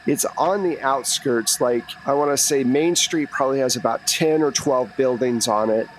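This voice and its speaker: Casual male game master over clear background noise. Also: mid-range voice, normal speed, rough recording. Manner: casual, conversational. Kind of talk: improvised tabletop game narration.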